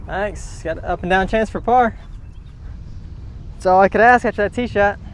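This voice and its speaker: A man's voice: sits high, moderate pace. A young man talking himself through a round of golf on an outdoor course, upbeat and wry. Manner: upbeat, self-coaching, wry. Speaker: golf vlogger. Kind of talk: casual on-course commentary. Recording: outdoor noise on camera mic.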